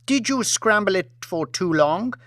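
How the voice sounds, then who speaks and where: A man's voice: high-pitched, expressive, measured pace, fairly bright tone, no room sound, clean studio sound, pitched above the performer's own voice. A man voicing an imperious, histrionic aristocratic lady with a petulant, tantrum-throwing manner in an audiobook. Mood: indignant, demanding. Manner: petulant, sobbing self-pity turning to furious outrage.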